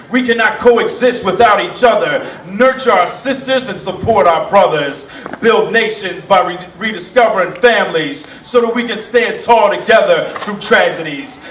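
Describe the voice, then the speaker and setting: A man's voice: high-pitched, fairly bright tone, roomy sound, far from the mic, rough recording. A man performing spoken word poetry on stage in a rhythmic, impassioned, rhyming delivery. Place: performance venue. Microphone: stage mic, heard from a distance.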